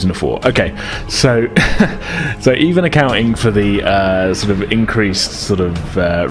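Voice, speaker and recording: A man speaking as game master, narrating a tabletop role-playing game in a halting, conversational way. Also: deep voice.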